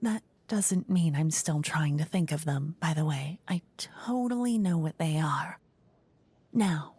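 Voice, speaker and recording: A woman playing a playful, haughty, easily bored queen in a fantasy ASMR roleplay, soft-spoken and teasing. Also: lowish voice, slightly rough, no room sound, exaggerated voice. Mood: smug, delighted. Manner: theatrical, teasing, soft-spoken with whispery phrases. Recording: close dry ASMR microphone.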